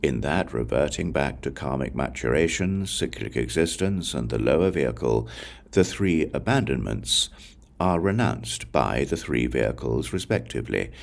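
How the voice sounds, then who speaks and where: Very deep, gravelly male scripture reader. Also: lively intonation, moderate pace. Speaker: audiobook reader. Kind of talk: scripted reading of Buddhist scripture.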